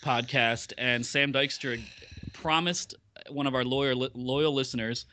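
A man's voice: mid-range voice, fairly even intonation, measured pace, pushed and bright, slight background noise. A man chatting on a podcast, rambling with many fillers and self-corrections. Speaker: podcast regular covering ballpark business news. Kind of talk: casual podcast chat.

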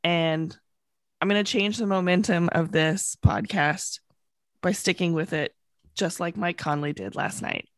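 A woman's voice: on the low side, measured pace, dry recording, clean studio sound. A woman hosting a sports podcast, chatting casually while she is getting a cold and losing her voice. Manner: chatty, laughs easily. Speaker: podcast host, sports beat reporter.

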